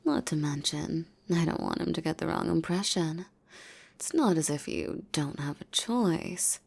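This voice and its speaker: A woman playing a possessive, menacing female demon in an intimate roleplay, speaking formally and commandingly, coaxing and often breathy. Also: low voice, measured pace, a little mellow, exaggerated voice. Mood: coldly commanding. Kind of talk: roleplay ASMR acting, intimate monologue.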